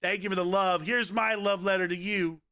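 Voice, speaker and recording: Hoarse, punchy male streamer. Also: sits high, moderate pace, no room sound. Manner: energetic, rambling, pleading with viewers. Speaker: game streamer. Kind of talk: casual livestream chat.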